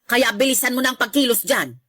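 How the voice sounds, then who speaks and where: A harsh middle-aged mother in a radio drama. Her voice sits a little high and she snaps and scolds dismissively. The recording is dry and close. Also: animated, quick pace, pushed and bright, no echo. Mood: scornful. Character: harsh, scornful, nagging mother of grown children. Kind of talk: Tagalog radio drama acting.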